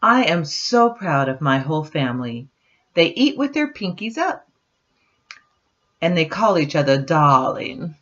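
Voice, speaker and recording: A woman's voice: sits low, measured pace. An older woman reading a picture book aloud to her grandchildren, chatting to them expressively as she goes. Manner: expressive, addressing children directly. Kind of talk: read-aloud storytelling with chatty asides. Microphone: computer webcam microphone. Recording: dull, lo-fi home webcam sound.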